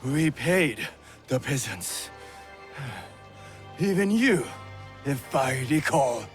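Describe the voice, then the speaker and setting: Menacing warlord, video game villain, scornful, drawn-out delivery. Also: male voice, medium pitch, animated, soft background music. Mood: contemptuous, menacing. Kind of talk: dramatic video game acting.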